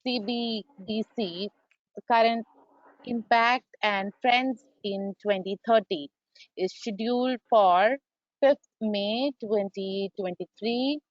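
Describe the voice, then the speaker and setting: A woman's voice: fairly high pitch, slow, no room sound, dull audio. A woman hosting a webinar, politely wrapping up and making announcements over a video call. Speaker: webinar moderator.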